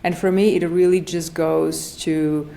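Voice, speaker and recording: A woman's voice: low-pitched, fairly even intonation, unhurried, creaky, dark tone. A woman of forty-nine with a Slovak accent, explaining earnestly as an interview guest and repeating phrases for emphasis. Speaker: functional medicine practitioner, podcast guest.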